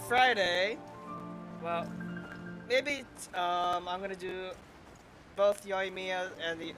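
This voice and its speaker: A man with a fairly high voice chats casually as he streams a game. He rambles and thinks aloud, with game music clearly playing behind him. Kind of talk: casual gameplay commentary. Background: video game music.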